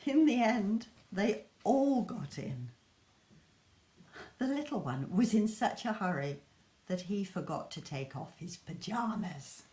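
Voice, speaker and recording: A woman's voice: mid-range voice, sing-song, slow, warm tone, a little hiss or hum. A woman reading a children's story expressively as a storyteller. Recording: echoey room.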